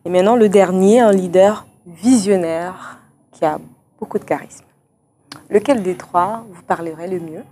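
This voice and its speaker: Brisk woman interviewer. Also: fairly low pitch, mellow, slight background noise. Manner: brisk, affirming with short acknowledgements. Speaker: interviewer, show host. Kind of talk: structured interview questions.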